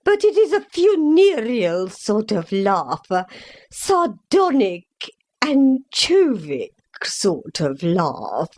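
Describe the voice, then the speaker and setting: A woman playing a gloomy, solemn mother in a comic parody play, with a portentous, drawn-out melodramatic delivery. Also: mid-range voice, huge pitch swings, darkish tone, exaggerated voice. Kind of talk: comic stage-play acting.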